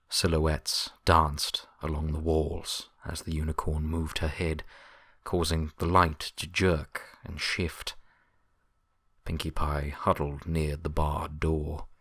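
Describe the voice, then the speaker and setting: A man's voice: extremely low pitch, gravelly, no room sound, clean studio sound. A man reading scripted fiction as a dramatic story narrator.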